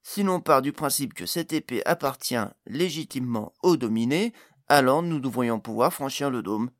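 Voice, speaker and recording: A man's voice: mid-range voice, expressive, moderate pace, no room sound, studio quality, close to an everyday voice. A man voicing a young male gnome intern in an audiobook fantasy tale, hesitant at first, then emphatic and exclamatory. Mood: eager. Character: young male gnome, precarious intern, eager and indignant magic-device expert.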